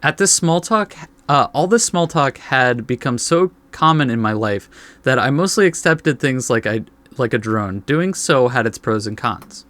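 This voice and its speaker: A man's voice: medium pitch, lively intonation, normal speed, fairly bright tone, no echo. Guy doing a let's play, reads dialogue then chats casually, mid voice, close dry mic. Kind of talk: reading game text aloud with casual commentary.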